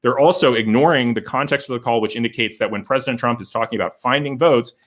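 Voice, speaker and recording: A man hosting a livestream, giving legal commentary and answering viewer comments, often halting and restarting as he thinks aloud. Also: lowish voice, a bit bright. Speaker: lawyer and show host.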